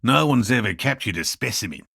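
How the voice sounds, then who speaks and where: Gravelly, earnest eccentric cryptozoologist. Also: male voice, mid-range voice, animated, fairly quick, bright, forceful tone, no echo, studio quality, heavily acted. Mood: explaining, scholarly. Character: earnest eccentric male cryptozoologist scientist, obsessive but courteous. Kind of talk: video game dialogue voice lines.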